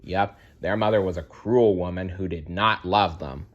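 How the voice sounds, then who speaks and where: A man narrating a children's fairytale aloud, expressive and speaking directly to young listeners. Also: low-pitched, normal speed, dull audio, a little hiss or hum. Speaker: storyteller.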